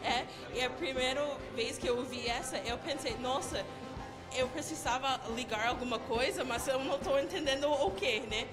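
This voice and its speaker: A young woman with an American accent answers questions warmly, laughing often and feeling her way through the words. Her voice is high, and music plays underneath. A handheld mic picks her up on a studio set. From United States. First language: English. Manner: laughing, friendly, halting learner's speech. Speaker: guest youtuber. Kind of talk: interview answers. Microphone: handheld mic held by interviewer.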